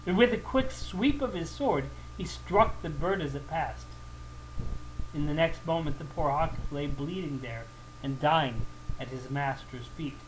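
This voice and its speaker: Male storyteller, fairly high voice, dramatic folktale narration, expressive, deliberate, faint noise behind. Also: rough recording.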